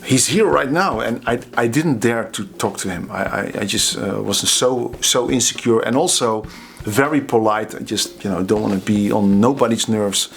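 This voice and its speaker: A middle-aged Dutch drummer with a Dutch accent, telling anecdotes in a chatty, casual way to the camera. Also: male voice, on the low side, normal speed, gravelly, fairly bright tone. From Netherlands. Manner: chatty, anecdotal, laughs at his own stories.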